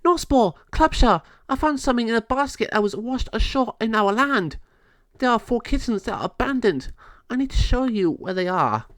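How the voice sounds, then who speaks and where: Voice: mid-range voice, animated, moderate pace, fairly bright tone, dry recording, pitched above the performer's own voice, close to an everyday voice. A performer voicing an earnest, dutiful young female fairy messenger in a storybook, speaking urgently.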